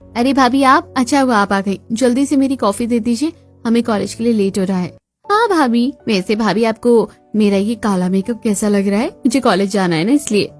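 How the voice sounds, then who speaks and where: A woman's voice: a little high, moderate pace, faint music behind, natural voice. A woman voicing a vain college-age sister-in-law in a cartoon, girlish and gushing. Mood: breezy, pleased.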